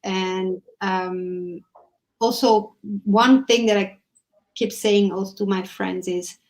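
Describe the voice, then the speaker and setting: A woman's voice: mid-range voice, slow pace, rough recording. A woman from Italy with an Italian accent, a guest speaking with enthusiasm in a casual conversation. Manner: enthusiastic, animated.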